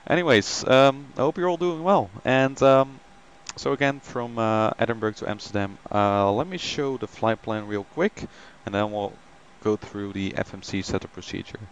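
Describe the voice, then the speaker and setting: A man's voice: fairly low pitch, normal speed, hardly any pauses, clear, resonant tone, highs cut off. A man hosting a flight simulator live stream, chatting casually with many ums and greeting viewers by name.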